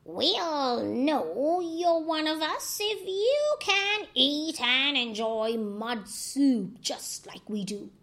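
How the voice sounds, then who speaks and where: A woman voicing gruff, slow-talking crocodiles in a storybook. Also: high voice, animated, a bit bright, exaggerated voice. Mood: sly. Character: gruff suspicious male crocodiles.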